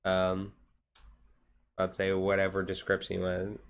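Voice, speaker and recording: A male streamer talks in a fairly low voice at a very unhurried pace. His delivery stays flat and rambling, with a hesitant, laid-back feel, like someone chatting while his hands are busy. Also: clear, resonant tone. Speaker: live streamer. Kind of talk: casual chat while doing a card-game activity.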